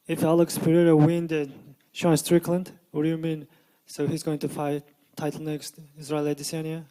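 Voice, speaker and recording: A man's voice: fairly high pitch, monotone, moderate pace, dark tone, rough recording. A man asking interview questions in non-native English, hesitant and full of "uh" fillers.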